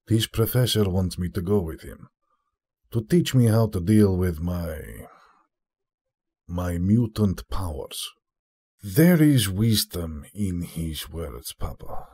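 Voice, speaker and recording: A man acting an earnest, humble young man, a devoted son, in an audio drama, with a husky voice. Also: lowish voice, warm tone, exaggerated voice. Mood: torn. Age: young adult.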